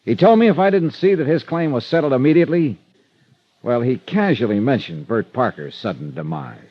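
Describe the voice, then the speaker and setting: A man's voice: mid-range voice, lively intonation, a little fast, close to an everyday voice. An American man playing a wry, hard-boiled freelance insurance investigator in clipped, confiding 1950s radio detective style. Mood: intent, explaining. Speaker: lead actor and narrator. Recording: muffled vintage radio recording with music.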